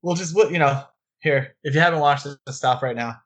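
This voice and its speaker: A man's voice: on the high side, fairly quick, fairly bright tone. A man talking casually about films as a podcast co-host, enthusiastic and given to rambling asides. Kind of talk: casual film discussion.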